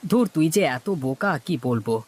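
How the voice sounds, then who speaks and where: A man's voice: on the high side, animated, moderate pace, darkish tone. Man playing a bossy, scheming cartoon village trader. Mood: scornful, bossy. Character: scheming, cocky male village market trader. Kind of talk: cartoon dialogue.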